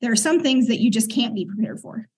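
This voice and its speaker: A middle-aged woman, a podcast guest, earnestly and reflectively recounting her experiences and clearing her throat now and then. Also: a little high, animated, brisk, a little mellow. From United States. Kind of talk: conversational interview storytelling.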